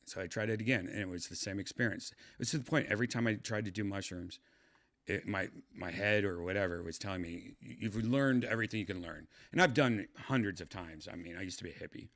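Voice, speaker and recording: A man's voice: deep voice, fast talker, fairly bright tone. A man in his fifties chatting casually to his livestream viewers, rambling with lots of fillers and restarts. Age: 50s. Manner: meandering, trails off, many fillers and restarts. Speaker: livestream host.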